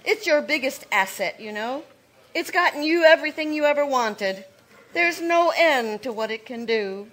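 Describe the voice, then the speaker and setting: An actress playing a cold, scheming older sister in a live old-time radio drama, speaking with cutting sarcasm. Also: female voice, high-pitched, lively intonation, fairly bright tone, poor audio. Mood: scornful. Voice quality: cutting, sarcastic, slow and deliberate.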